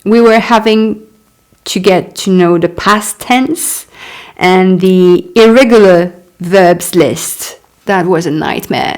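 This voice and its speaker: A woman in her thirties whose first language is French, reading her own memoir aloud and stopping for chatty asides. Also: lowish voice. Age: 30s. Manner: unhurried reading broken by chatty asides and little laughs. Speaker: author.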